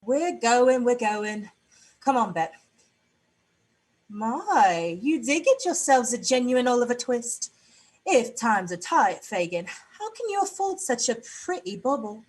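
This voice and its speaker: A woman's voice: fairly high pitch, big pitch swings, slow pace, slightly rough, heavily acted. A woman playing a tough young prostitute in a dystopian London stage play reading, blunt, taunting and streetwise. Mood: sly, teasing.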